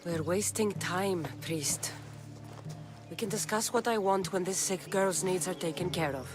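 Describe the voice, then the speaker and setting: A woman's voice: fairly low pitch, a little slow, close to an everyday voice. An actress playing a tough, no-nonsense female mercenary of ancient Greece, blunt and direct, in a video game. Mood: concerned, blunt. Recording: game music behind the voice.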